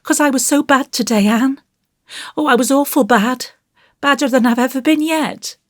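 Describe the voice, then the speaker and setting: A woman's voice: high-pitched, normal speed, no echo, clean studio sound, pitched above the performer's own voice, close to an everyday voice. A woman voicing an earnest, naughty but penitent little boy in an audiobook, pleading and blurting in childlike, colloquial speech. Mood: miserable, confessing. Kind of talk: audiobook character voice.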